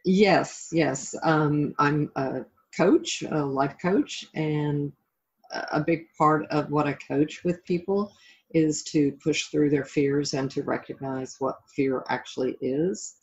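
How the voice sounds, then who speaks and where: A woman's voice: low-pitched, steady tone, slow pace, slightly rough, lo-fi sound. A middle-aged American woman from the South of the United States talking reflectively about her own life as an interview guest. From southern United States (Florida).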